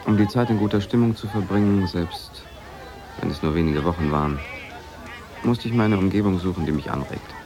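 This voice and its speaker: An actor in a German radio drama playing a reserved, observant foreign professor, speaking in a guarded, measured way. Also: male voice, low-pitched, creaky, darkish tone.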